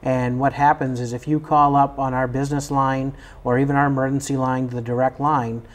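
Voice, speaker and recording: An American man speaks as an interview guest from a fire service. His voice sits at a medium pitch, and he explains procedures in unscripted, conversational speech. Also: level tone, a little fast. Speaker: fire department staff member, interview guest.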